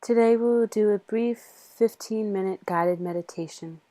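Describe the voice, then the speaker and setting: A woman leading a guided meditation in a soothing, measured manner with long pauses. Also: medium pitch, dark tone.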